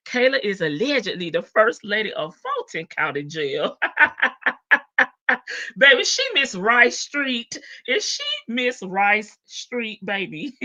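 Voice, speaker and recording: Bright, slow-talking woman livestream host. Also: mid-range voice, animated, muffled recording. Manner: chatty and playful, laughs often, greets viewers by name. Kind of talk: casual gossip commentary on a court case.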